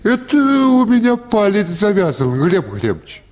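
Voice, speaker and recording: High-voiced, meek peasant. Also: male voice, big pitch swings, measured pace, a little mellow. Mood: pleading. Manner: humble, deferential, rustic. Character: meek, pleading peasant man. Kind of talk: Russian radio play acting.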